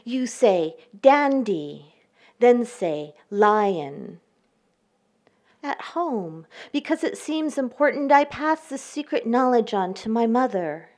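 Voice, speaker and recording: A woman poet reading her work aloud at a live event, with measured pacing and careful pauses between lines. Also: a little high, sing-song, a little mellow. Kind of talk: poetry reading with spoken introductions.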